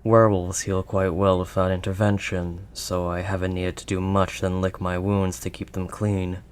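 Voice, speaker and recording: A man voicing a gruff but kindly werewolf of the wild woods in an intimate audio roleplay, with a halting, gently protective manner. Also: low voice, flat delivery, natural voice. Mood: gentle, awkward. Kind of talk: audio roleplay, intimate second-person acting.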